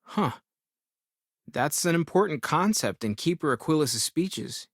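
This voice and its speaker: A man's voice: fairly high pitch, animated, unhurried, no room sound, clean studio sound. A man playing an earnest, curious theological scholar turned space explorer in a video game, thoughtful and articulate. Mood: pensive. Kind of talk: video game character dialogue.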